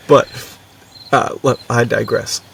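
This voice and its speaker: A man's voice: medium pitch, animated, drawn-out words, gravelly, a bit bright, far from the mic, slight background noise. A young man co-hosting a podcast in casual, enthusiastic chat, with a slangy, buddy-like manner. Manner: slangy, buddy-like, frequently chuckling. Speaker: podcast co-host.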